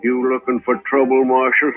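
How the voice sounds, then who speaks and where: An American actor playing a brash, volatile hired gunman who taunts excitably in a 1950s radio Western. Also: mid-range voice, normal speed, faint music behind, lightly acted. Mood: wary, defensive. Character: cocky, volatile, hard-drinking hired gunman. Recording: tinny, phone-like old radio recording.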